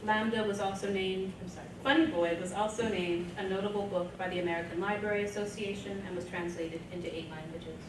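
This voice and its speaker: A woman's voice: medium pitch, steady tone, a little fast, few pauses. A woman presenting at a conference, reading a scripted introduction aloud at a podium, recorded from across a hotel meeting room. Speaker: event presenter introducing an author. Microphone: podium microphone, recorded from across the room. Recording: echoey, distant, noisy room.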